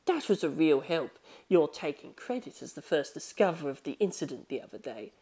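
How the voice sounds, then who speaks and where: A woman voicing a brisk, self-assured male manga artist in an audiobook, speaking in a matter-of-fact, articulate way. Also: deep voice, very animated, mellow, slightly rough, full character voice.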